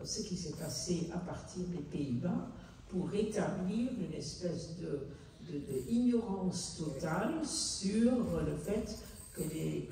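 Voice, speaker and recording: Older lady, halting, mellow voice, far off mic in a big echoey hall. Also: fairly low pitch, slight background noise. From Netherlands. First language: Dutch. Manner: halting, searching for words, earnest. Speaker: author and guest. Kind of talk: public book talk. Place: large hall at a city hall book fair.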